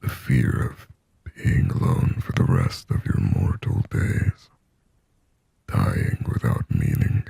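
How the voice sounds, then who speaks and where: A man voicing a lonely, possessive demon in a slow, intimate ASMR roleplay, whispering breathily and raspily close to the microphone with halting, brooding phrasing. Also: extremely low pitch, dark tone, dry recording, exaggerated voice. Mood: brooding, fearful. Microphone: very close, dry microphone.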